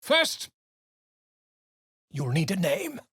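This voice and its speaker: Pompous bard-scholar, breathy, slow and sing-song, dry studio sound. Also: male voice, high voice, wildly swooping pitch, bright, forceful tone, no echo, heavily acted. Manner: flowery, pompous, declamatory. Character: eccentric male bard-scholar, pompous and theatrical. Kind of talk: video game voice acting.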